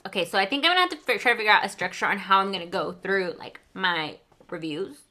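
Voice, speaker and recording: A young woman from Mexico, with Spanish as her first language, chats casually through a book review. She rambles with plenty of fillers and side remarks. Her voice sounds bright and sits at a middle pitch. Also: expressive, quick pace. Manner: chatty, rambling, lots of fillers and asides. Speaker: book vlogger.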